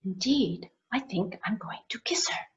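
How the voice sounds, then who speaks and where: A woman voicing a gallant, dreamy young prince who speaks quickly and wonderingly. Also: medium pitch, expressive, a bit bright, in a whisper. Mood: smitten. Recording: dull lo-fi recording.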